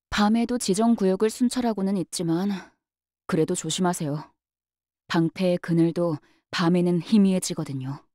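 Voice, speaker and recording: A woman voicing a brisk young female knight-bodyguard in a game, polite but curt, with a faintly sardonic, matter-of-fact delivery. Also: medium pitch, dark tone, dry recording, clean studio sound, natural voice. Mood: dry, businesslike. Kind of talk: scripted game character voice lines.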